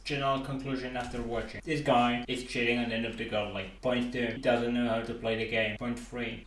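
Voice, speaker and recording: A man's voice: on the low side, fairly bright tone. A young man whose first language is Polish, bluntly mocking a gaming video with casual commentary and free swearing. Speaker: channel host, commentator. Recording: roomy, distant mic with faint noise.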